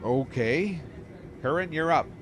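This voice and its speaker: A man's voice: fairly high pitch, expressive, slow pace. A man playing a sceptical, official older police captain in an audio drama, with clipped questions. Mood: businesslike, baffled. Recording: clearly noisy outdoor street ambience behind.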